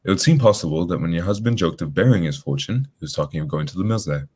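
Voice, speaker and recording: A man's voice: low voice, animated, fast, a little mellow, slightly rough. A man playing a shrewd, composed detective in a radio drama, speaking formally and matter-of-factly. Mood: shrewd, probing.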